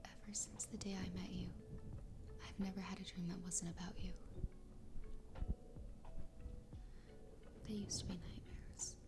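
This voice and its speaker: A woman voicing an obsessively loving fox girl wife in a soft, breathy, tender ASMR roleplay whisper. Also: on the low side, little pitch movement, lightly acted. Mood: dreamy, devoted. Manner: tender, slow, intimate. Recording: close whisper over background music. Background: music.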